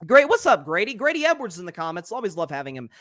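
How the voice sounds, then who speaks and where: A young man hosting a solo sports talk show, talking quickly and animatedly, often repeating words as he builds a point and reading out viewer comments. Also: sits high, very animated, a bit bright, no room sound, clean studio sound.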